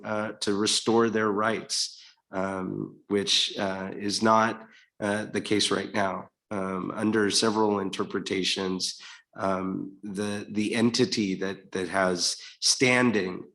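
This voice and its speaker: A man on a policy panel, explaining legislation in a measured way with many pauses and restarts. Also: lowish voice, gravelly, a bit bright. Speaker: congressional committee staffer on a panel.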